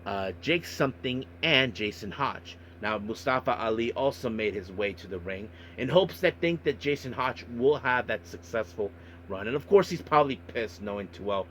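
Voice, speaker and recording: A man hosting a podcast monologue, recapping and commenting on events in a halting way with repeated filler phrases. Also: mid-range voice, animated, fairly bright tone, slight background noise. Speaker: wrestling podcast host.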